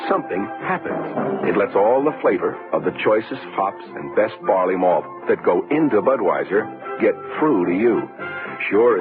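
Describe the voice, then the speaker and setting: A man reading a vintage radio commercial as announcer, in a folksy, persuasive way. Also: lowish voice. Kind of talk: scripted vintage radio advertisement. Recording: tinny old radio broadcast. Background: music.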